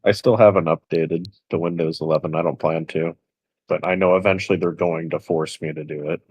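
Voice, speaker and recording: A man with a very deep voice co-commentates a casual stream over voice chat. The sound is dry and close, and his remarks come out wry and sardonic. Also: steady tone, a little fast, a little mellow, breathy edge, no echo.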